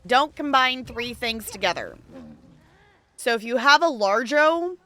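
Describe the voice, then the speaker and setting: A woman chatting casually and animatedly on a game livestream, halting mid-thought as she goes. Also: a little high, expressive, fairly bright tone. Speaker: video game live streamer. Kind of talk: casual livestream chat over gameplay.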